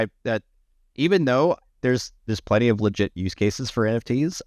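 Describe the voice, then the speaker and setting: A man chatting casually to his livestream viewers, rambling with many restarts and sounding slightly hoarse after hours of talking. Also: deep voice, a little mellow, dry recording, studio quality. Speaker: livestreamer and AI art tutorial maker.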